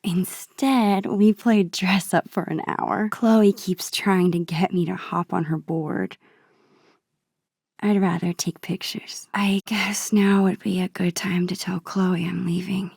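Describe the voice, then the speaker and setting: A woman voicing a shy, thoughtful young American teenage girl in a video game, in a soft, breathy, musing inner-monologue voice. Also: fairly low pitch, fairly even intonation, slow pace, no echo, clean studio sound, full character voice. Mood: musing, wistful. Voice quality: breathy, hushed.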